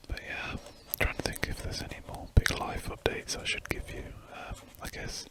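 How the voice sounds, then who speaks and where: A man whispering throughout as he chats casually while drawing, an ASMR host who rambles and corrects himself mid-sentence. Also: normal speed. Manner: soft unvoiced whisper, rambling and self-correcting.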